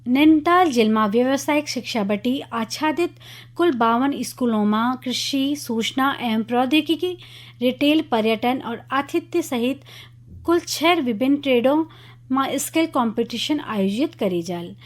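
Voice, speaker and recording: High-voiced Kumaoni woman reading radio news. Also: measured pace. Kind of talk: scripted regional news bulletin.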